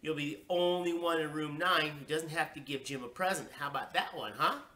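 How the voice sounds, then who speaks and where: A woman's voice: low-pitched, brisk, pushed and bright, roomy sound. A woman voicing a stern, baffled father who questions his young daughter emphatically in a storybook. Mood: coaxing.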